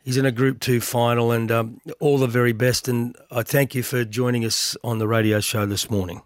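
An Australian man with a husky voice, hosting a racing radio show, talking with lots of hesitant fillers. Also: mid-range voice, little pitch movement, normal speed, no room sound, clean studio sound. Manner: hesitant, many filler words. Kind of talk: radio interview and news roundup. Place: radio studio.

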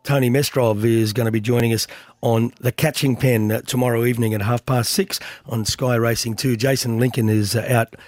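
Aussie radio host guy, bit husky, lots of ums, dry close studio sound. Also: mid-range voice, fairly even intonation, a little fast, a little mellow, no room sound. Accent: Australian. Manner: hesitant, many filler words. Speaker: radio racing show host. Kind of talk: radio interview and news roundup.

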